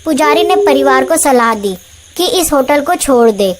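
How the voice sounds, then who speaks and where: Woman narrator for a scripted ghost story, notably high voice, slight noise behind. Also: animated, a little slow.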